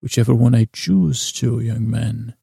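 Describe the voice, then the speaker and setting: A man's voice: mid-range voice, measured pace, vocal fry, dark tone, husky, dry recording, studio quality, exaggerated voice. A man voicing a grandly self-assured hotel concierge, courteous and boastful, with formal, pompous phrasing, in a comic podcast. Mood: smug, courteous.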